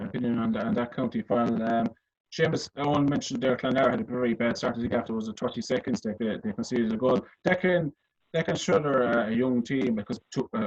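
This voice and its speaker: An Irish man hosting a sports radio discussion and putting questions to guests. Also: mid-range voice. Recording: dull lo-fi radio sound.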